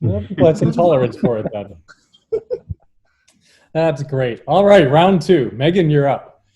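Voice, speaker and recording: A man's voice: on the high side, expressive, measured pace, dull audio, background noise. A man moderating a casual group chat and handing turns to others. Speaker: moderator of a librarians' discussion.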